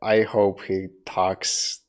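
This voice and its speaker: A man's voice: deep voice, little pitch movement, very slow. A young man from Poland, giving casual reaction commentary bluntly and swearing freely. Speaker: streamer reacting to a video.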